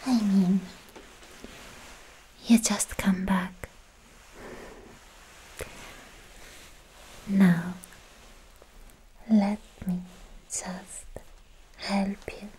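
A young woman playing a sweet, lovestruck anime demon slayer in a breathy, whispered ASMR roleplay, speaking softly and affectionately, very close to the microphone. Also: mid-range voice, slight background noise, low-quality recording. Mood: affectionate, gentle. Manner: slow, hushed, affectionate personal attention.

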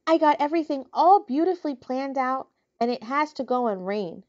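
A woman's voice: a little high, lively intonation, normal speed, a little mellow, dull audio, lightly acted. A woman voicing a lonely young male pig who pleads earnestly, in a storybook reading. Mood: plaintive. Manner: plaintive, earnest.

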